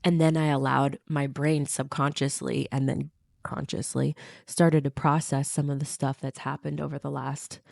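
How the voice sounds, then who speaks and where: Low-voiced, stuffed-up woman, gravelly. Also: level tone, normal speed, darkish tone, dry recording, clean studio sound. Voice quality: nasal. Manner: reflective, earnest, laughs lightly. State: stuffed-up nose. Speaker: podcast co-host. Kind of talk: casual chat with partner.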